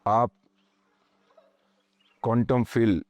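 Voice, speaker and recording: A man leading a guided meditation, speaking calmly and measuredly in short phrases with pauses, as slow instructional narration. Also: mid-range voice, no echo. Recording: voice over background music. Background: meditation music.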